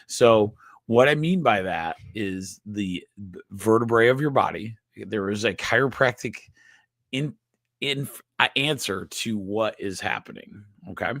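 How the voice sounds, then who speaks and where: Nasal low-voiced man teaching. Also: fairly bright tone, no echo. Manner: halting, frequent restarts, checks in with "okay?" and "right?". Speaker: chiropractor host. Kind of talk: live-streamed health explainer.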